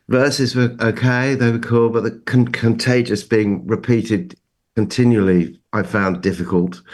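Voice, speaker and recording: A man judging music informally, hesitant and understated in how he gives his opinion. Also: lowish voice. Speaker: music judge, record producer.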